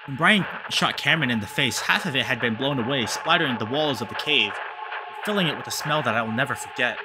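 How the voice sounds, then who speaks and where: A man voicing a haunted, grieving animal-man who narrates a visual novel in a hushed, grave, measured way. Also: medium pitch, animated, background noise, natural voice. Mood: grim, haunted.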